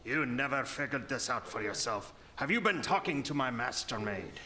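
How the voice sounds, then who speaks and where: Man playing a menacing troll, bright forceful voice. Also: mid-range voice, lively intonation, normal speed, a little hiss or hum. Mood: gruff, suspicious. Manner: threatening, mock-friendly. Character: huge ugly menacing male troll master. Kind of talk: audio drama folktale acting.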